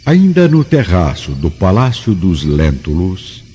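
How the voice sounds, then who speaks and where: A man's voice: deep voice, wildly swooping pitch, unhurried, warm tone, slightly rough. A man narrating a scripted radio drama in a formal, dramatic manner. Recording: muffled recording with music underneath.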